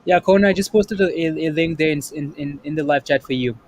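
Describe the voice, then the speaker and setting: A man with a slightly raised pitch hosts a relaxed trading livestream, reading chat messages and keeping the mood light. His voice sits over a faint background hiss. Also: quick pace. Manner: upbeat, repeats "yep" and "there you go". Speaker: livestream host.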